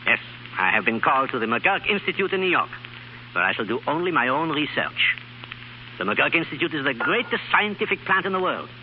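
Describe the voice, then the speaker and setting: Through a muffled, faintly hissy 1930s radio broadcast comes an earnest older professor. His pitch swings widely as he makes formal, theatrical pronouncements, fatherly yet quick to scorn ordinary practice. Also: sing-song. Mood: proud, eager. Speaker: radio actor. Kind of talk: 1930s radio drama acting.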